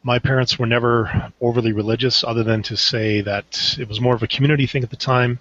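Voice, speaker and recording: A man from Canada, a roundtable guest, speaking in a measured, thoughtful way over a video call. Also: medium pitch, fairly even intonation, a bit bright, no echo. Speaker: panel guest. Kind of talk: casual roundtable talk. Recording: dull lo-fi sound.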